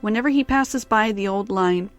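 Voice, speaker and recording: A woman's voice: medium pitch, normal speed, faint music behind. A woman giving scripted story narration as the narrator of an audio drama.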